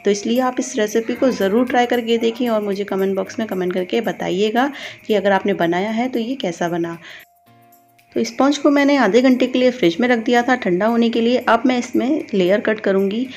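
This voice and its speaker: A woman's voice: fairly high pitch, fairly quick, a little mellow, faint music behind. A woman giving informal step-by-step instructions as a cooking channel host. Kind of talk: recipe voice-over, step-by-step instruction.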